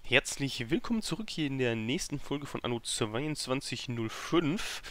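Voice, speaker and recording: A man's voice: mid-range voice, expressive, moderate pace, a bit bright, dry recording. A man hosting a let's play with casual, self-deprecating and grumbling commentary into a microphone. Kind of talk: casual video game commentary.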